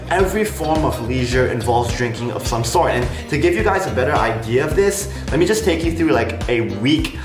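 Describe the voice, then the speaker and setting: A young man from Malaysia talks to camera in a slight American accent, chatty and frank, with lots of "like" and "honestly." His voice sits in an ordinary middle pitch. The room has a noticeable echo, and music plays clearly behind him. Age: young adult. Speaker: vlogger, international student. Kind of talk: casual talk to camera.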